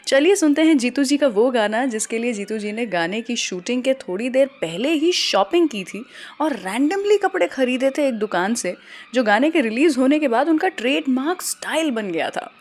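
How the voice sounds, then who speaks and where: A woman's voice: a little high, expressive, moderate pace, faint music behind. A woman with an Indian accent presenting a radio show as host, mixing Hindi and English.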